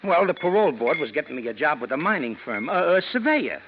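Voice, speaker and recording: An actor playing a nervous, slangy American ex-convict in a 1940s radio crime drama, pleading and hesitant. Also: male voice, a little high, big pitch swings, quick pace. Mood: insistent. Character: nervous, fast-pleading male ex-convict, slangy small-time crook. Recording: dull, noisy vintage radio recording.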